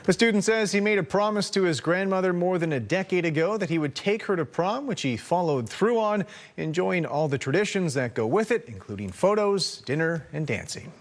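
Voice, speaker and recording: Canadian male news anchor, higher voice. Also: lively intonation. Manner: crisp, measured newscaster delivery. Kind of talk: scripted news reading. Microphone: studio mic.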